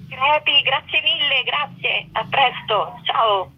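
A woman's voice: high voice, slow, a little hiss or hum. A woman explaining things as an interviewee in a radio phone interview. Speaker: performing arts activist, interviewee. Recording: muffled, lo-fi phone line.

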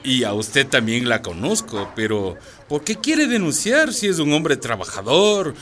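A man's voice: mid-range voice, sing-song, unhurried, fairly bright tone, a little hiss or hum. A man acting a dismissive, condescending policeman in a radio drama, authoritarian in manner. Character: dismissive, officious sexist policeman.

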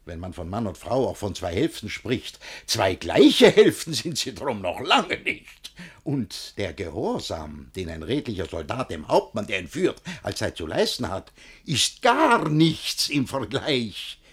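Male radio-play role: pompous middle-aged guardian, preachy and bossy, husky, very animated pitch, theatrical verse. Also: a little slow, exaggerated voice. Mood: stern, preachy. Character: domineering, self-satisfied older guardian lecturing his young ward. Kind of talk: German radio play acting in rhymed verse.

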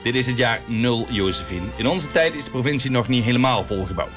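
A man's voice: medium pitch, expressive, a little fast, a bit bright, faint music behind, natural voice. A man playing a grumbling, exasperated village carpenter with a Brabant Dutch accent in a comic radio play. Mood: weary. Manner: blustering, prone to exasperated cursing.

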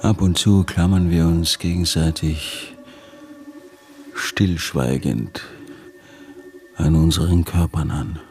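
An actor playing a weary, obsessive, desperate husband, narrating a literary radio drama in halting, deliberate phrases. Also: male voice, deep voice, steady tone, a little mellow, faint music behind. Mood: weary, bleak. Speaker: actor narrating as lead character.

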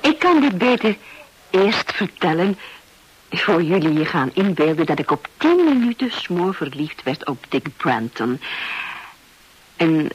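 A self-possessed lady telling her story in a radio drama. Her voice is very husky and expressive. She takes her time, confiding candidly in the listener. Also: lowish voice, dull audio, heavily acted. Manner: confiding, deliberate. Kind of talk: first-person radio play narration.

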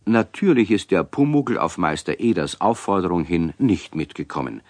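A man's voice: low-pitched, animated, moderate pace, a little mellow. A man narrating a scripted children's story for a radio play. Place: dry studio.

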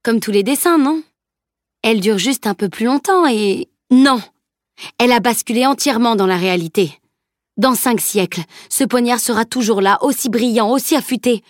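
A woman's voice: fairly high pitch, normal speed, dry recording, pitched above the performer's own voice, lightly acted. Lady reading a grumpy old teacher guy, bossy, lectures, dry close sound. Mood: stern. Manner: grumbling, severe, lecturing. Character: stern old male master teacher.

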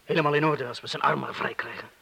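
Een acteur die in een hoorspel een gespannen, vastberaden inbreker speelt, kortaf en dringend, met een hese stem. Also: male voice, fairly high pitch, steady tone, quick pace, gravelly, slightly rough, heavily acted. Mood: urgent concern. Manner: terse, urgent, hushed at times. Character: tense, determined burglar who knows bombs, loyal to mate. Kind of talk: Dutch-language radio drama acting.

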